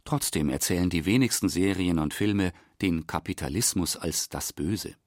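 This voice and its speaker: A man reading scripted narration for a radio documentary, expressive and deliberate. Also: sits low, no echo, studio quality.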